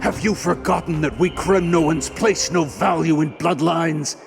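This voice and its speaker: A ruthless warrior king from a fantasy game, contemptuous and imperious. The voice is heavy with gravel and moves slowly, with music playing clearly behind. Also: fairly high pitch. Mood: cold contempt. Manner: slow, imperious. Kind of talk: dramatic video game voice acting. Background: loud music.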